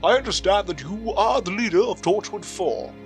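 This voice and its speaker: Man as a haughty colonel, high lively voice, audio drama. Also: normal speed, faint music behind. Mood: imperious. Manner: imperious, demanding respect. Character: arrogant male military colonel, overbearing superior. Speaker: commanding officer.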